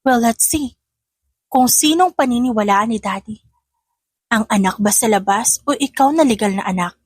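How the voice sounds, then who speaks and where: A woman's voice: a little high, normal speed, slightly rough, no echo, clean studio sound. A woman voicing a scheming young stepsister, defiant and cutting with restrained spite, in an audio story. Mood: sly, menacing.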